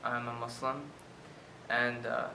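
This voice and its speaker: A nineteen-year-old man answering an interview question in a halting way. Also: completely flat delivery, vocal fry, sharp tone. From South America. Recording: echoey room, faint rumbling noise.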